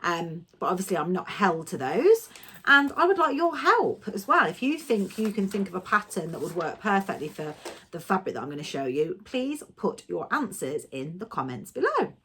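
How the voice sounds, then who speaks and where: A woman's voice: fairly low pitch, big pitch swings, moderate pace, a bit bright, slight background noise. A woman talking casually about her sewing plans, a little nasal and stuffy from a cold, chatty and given to rambling. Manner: chatty, rambling, self-correcting. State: has a cold, slightly nasal and coughing. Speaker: sewing vlogger. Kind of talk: casual vlog chat.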